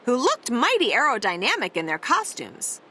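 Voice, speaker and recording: A woman reading a children's picture book aloud, with expressive, playful and dramatic emphasis on the words. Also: on the high side, moderate pace, a little hiss or hum. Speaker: read-aloud storyteller.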